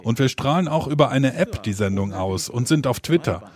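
A man delivers a scripted voice-over for a translated interview. His pitch is average for a male voice. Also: lively intonation, moderate pace, darkish tone.

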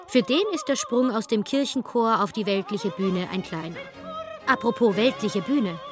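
A sardonic female archaeologist, played by an actress narrates history in a radio play. Her mid-pitched voice is ironic and deadpan, with clear music behind it. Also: close to an everyday voice. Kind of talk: scripted radio drama narration.

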